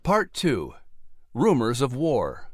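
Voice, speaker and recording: Guy podcast announcer, theatrical, super lively pitch, scripted read, dry studio sound. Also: slow, no echo, faint music behind. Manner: animated, theatrical.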